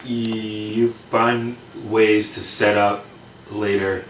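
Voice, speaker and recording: A man's voice: lowish voice, level tone, slow, slight background noise. A man who stutters through repeated words, chatting casually. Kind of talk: casual chat. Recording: echoey room, distant mic.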